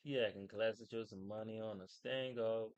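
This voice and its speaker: A man's voice: fairly low pitch, fairly even intonation, moderate pace, dull audio. A man streaming a video game, rambling casually in slang and trailing off. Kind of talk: casual live game commentary.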